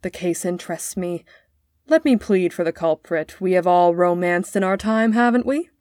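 A woman voicing a cultured gentleman, kindly but smug and patronising, in a storybook reading. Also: lowish voice, normal speed, no room sound, clean studio sound, natural voice. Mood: smiling condescension.

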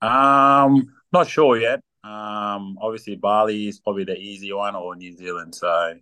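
Deep-voiced young man, laid-back. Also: measured pace, clean tone. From South Sudan. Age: young adult. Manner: relaxed, many ums and fillers. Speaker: guest athlete. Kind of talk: casual interview chat.

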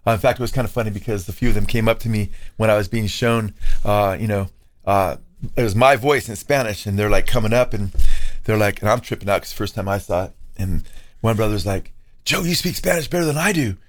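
A man's voice: lowish voice, brisk. A man co-hosting a casual discussion, talking loosely and quoting scripture with Greek words. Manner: conversational, quotes scripture with Greek terms. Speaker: co-host, pastor. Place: California.

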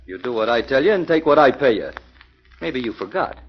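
An actor playing a bullying racketeer who talks curt and threatening, in a 1940s radio drama. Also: male voice, medium pitch, expressive, a little fast, slightly rough. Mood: menacing. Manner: menacing, curt. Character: bullying male racketeer boss. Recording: hissy lo-fi 1940s radio recording.

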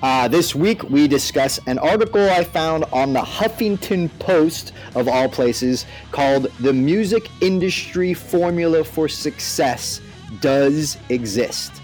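A man's voice: a little high, animated, slow, a little mellow, faint music behind, poor audio. A man hosting a podcast in casual, informal, slangy talk. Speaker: podcast host.

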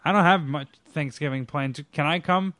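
A man's voice: a little high, moderate pace, a bit bright, dry recording. A man hosting a podcast in casual chat, jokey and laughing often, swearing freely. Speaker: podcast host.

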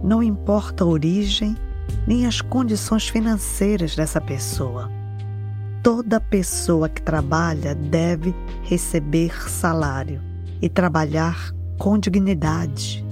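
A female podcast host asks questions in an unhurried, inquisitive way and comments on the story. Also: lowish voice, a little mellow, soft background music. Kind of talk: scripted podcast conversation.

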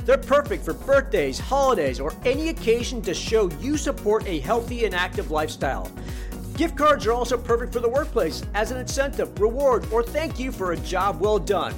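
A woman reads a scripted ad in a fairly high, lively voice that moves up and down a lot. Clear music plays behind her. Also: normal speed. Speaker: ad announcer. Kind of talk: scripted commercial read.

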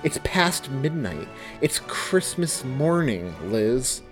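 A man's voice: medium pitch, very animated, slow, faint music behind. A man voicing a blunt, exasperated young boyfriend in an audiobook, emphatic and incredulous. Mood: exasperated, demanding. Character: brawny young boyfriend, exasperated, blunt, grumbling video editor. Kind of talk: audiobook character dialogue.

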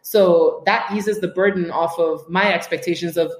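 A woman's voice: on the low side, normal speed. Woman, from Trinidad and Tobago, raised in the US, podcast guest, outspoken, emphatic, echoey room. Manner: animated, frank, emphatic. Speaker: guest, writer. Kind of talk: casual podcast conversation. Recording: roomy echo.

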